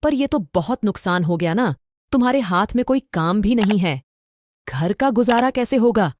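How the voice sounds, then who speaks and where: A woman's voice: mid-range voice, lively intonation, moderate pace, darkish tone, no room sound, natural voice. A woman voicing a caring, determined young wife who reassures her husband in a cartoon story. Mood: worried. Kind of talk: cartoon voice acting.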